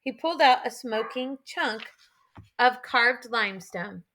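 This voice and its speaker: A woman audiobook narrator with a high, bright voice reads an adventure story. There is noticeable fry in her voice as she tells the scripted tale. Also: a little slow, a little hiss or hum.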